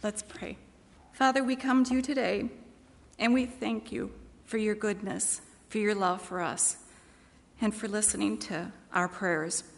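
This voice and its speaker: Low-voiced woman in an echoey church hall. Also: steady tone, a little slow, gravelly. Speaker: church leader giving announcements and prayer. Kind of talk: informal church announcements and spoken prayer. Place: large church meeting hall. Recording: echoey hall with long reverb.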